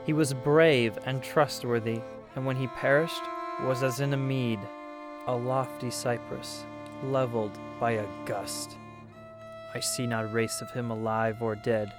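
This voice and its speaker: A man's voice: mid-range voice, steady tone. Male storyteller narrating over music. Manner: theatrical, measured delivery with recited verse. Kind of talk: dramatic storytelling narration of a historical biography. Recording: music under the voice. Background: musical instruments playing.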